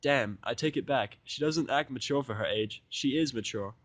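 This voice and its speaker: A man with an average-pitched voice narrates a first-person tale. His reading is casual and sardonic, like a hobbyist audiobook. The recording is dry, with little room sound. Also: normal speed, dry recording.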